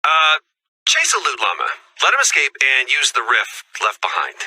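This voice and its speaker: An actor playing a wry, harried everyman agent in a video game, hesitant and sardonic, with a husky voice. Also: mid-range voice, huge pitch swings, normal speed, bright, forceful tone, heavily acted. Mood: hesitant, bemused. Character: awkward, wry, harried male human agent, likeable everyman.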